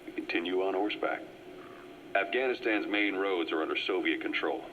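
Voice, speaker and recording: An actor playing a calm, well-informed agent who briefs a comrade in a measured, explanatory way. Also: male voice, low voice, a little hiss or hum, close to an everyday voice. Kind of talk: video game cutscene voice acting. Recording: muffled cassette tape audio.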